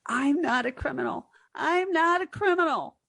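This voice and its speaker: A woman's voice: high-pitched, animated, moderate pace, creaky, fairly bright tone, full character voice. A woman playing a naive, scatterbrained young woman with guileless earnestness in a 1940s-style radio comedy. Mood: fretful. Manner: guileless, dim-witted earnestness.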